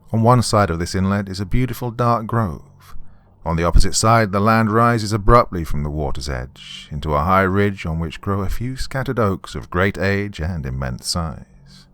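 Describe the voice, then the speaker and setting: A British man hosting and narrating a horror podcast, reading scripted material with dramatic, deliberate, emphatic phrasing. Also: low voice, fairly bright tone, no echo.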